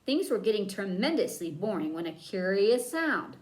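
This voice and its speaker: A woman narrates a children's story in a very animated read-aloud style. Her medium-pitched voice moves up and down freely, and she stretches key words for dramatic emphasis. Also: moderate pace.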